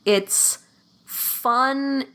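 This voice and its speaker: Woman guest, bright forceful voice, a bit high, chatty and enthusiastic. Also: drawn-out words. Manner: enthusiastic, lots of "like" fillers and laughs. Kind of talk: casual podcast chat.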